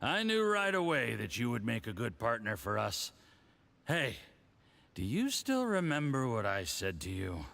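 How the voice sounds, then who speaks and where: A smug male diplomat from a fantasy game. His pitch swoops widely and his delivery is slow and theatrical. He sounds condescending and a little drunk, savoring his words like a patron talking down to an underling. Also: wildly swooping pitch, vocal fry, fairly bright tone, heavily acted. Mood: tipsy, pleased. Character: smug, condescending, tipsy Fatui diplomat, adult man. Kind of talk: video game voice acting.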